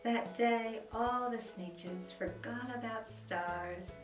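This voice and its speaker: A woman reading a children's book aloud at home, dramatic and expressive with soft whispers. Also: fairly high pitch, slow pace. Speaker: storyteller. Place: room at home. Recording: roomy echo, mic at a distance, faint music.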